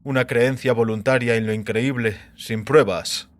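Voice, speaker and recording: A man voicing a mysterious, self-assured skeptic with a measured, formal and mocking manner. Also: medium pitch, fairly even intonation, close to an everyday voice. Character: mysterious male guest, self-assured, scornful skeptic of faith. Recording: background music under voice.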